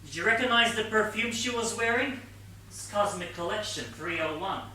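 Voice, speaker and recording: A man playing an earnest, know-it-all junior detective sidekick in a comic stage play, explaining things deliberately. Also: high-pitched, bright, forceful tone. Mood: knowing, analytical. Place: theatre stage, picked up from a distance. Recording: distant echoey theatre stage recording, faint noise.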